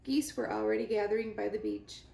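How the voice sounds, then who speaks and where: A woman reading a children's book aloud as an expressive narrator, in a roomy, echoey recording. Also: mid-range voice, fairly quick, gravelly, a little hiss or hum. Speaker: library reader. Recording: roomy, echoey indoor sound.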